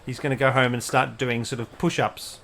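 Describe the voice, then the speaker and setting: A man narrating as game master, animated and hesitant, laughing often. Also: mid-range voice, a little fast, creaky, a little hiss or hum.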